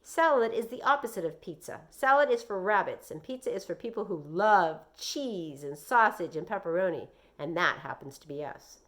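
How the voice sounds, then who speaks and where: A woman's voice: fairly low pitch, animated, normal speed, few pauses, a bit bright, a little hiss or hum. Woman playing a blunt, exasperated mom. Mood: teasing. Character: exasperated, blunt, teasing mother of a boy. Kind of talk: children's novel read aloud.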